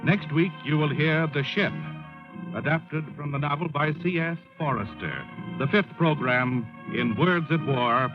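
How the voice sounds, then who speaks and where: An American man reading scripted 1940s radio credits in a formal, measured announcer's style. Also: a little high. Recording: dull old radio broadcast recording. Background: music.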